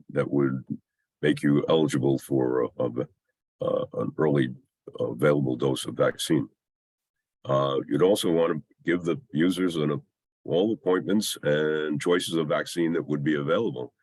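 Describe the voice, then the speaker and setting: A man with a scratchy, under-the-weather voice gives an explanatory technical slide presentation in a halting, hesitant way with long pauses. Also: a bass voice, a little mellow, slightly rough, dry recording. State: scratchy voice from a mild illness.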